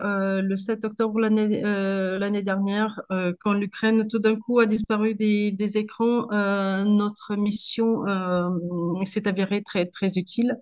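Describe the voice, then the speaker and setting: Woman from moldova, talks slow w lots of searching for words, guest at a debate. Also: mid-range voice, darkish tone, highs cut off. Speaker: guest speaker, association founder. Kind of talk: public debate interview, spontaneous speech.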